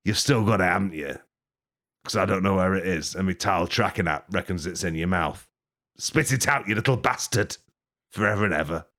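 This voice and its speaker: A man rambling through an improvised mock tour-guide bit, deadpan and absurd, swearing and laughing at his own jokes. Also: low voice, brisk. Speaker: podcast host.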